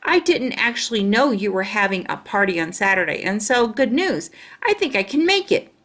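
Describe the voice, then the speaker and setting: A woman's voice: mid-range voice, very animated, moderate pace, fairly bright tone. A woman voicing a chatty, boastful, stubborn young schoolgirl in a children's storybook, with emphatic childlike wording. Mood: pleading, coaxing. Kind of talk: children's storybook read-aloud.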